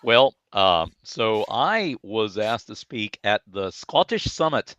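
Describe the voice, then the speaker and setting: A man's voice: fairly low pitch, big pitch swings, slow, a bit bright, no room sound. An American man chatting as a podcast guest, telling stories in an enthusiastic, effusive and polite way. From United States. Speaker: podcast guest, data speaker. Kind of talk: casual conversational storytelling. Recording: dry, slightly dull audio.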